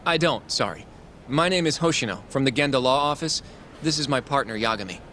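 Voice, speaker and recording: A man's voice: medium pitch, lively intonation, fairly quick, pushed and bright, slight background noise. An actor voicing an earnest, polite young male legal associate in a game cutscene, eager and a little anxious. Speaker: law office assistant.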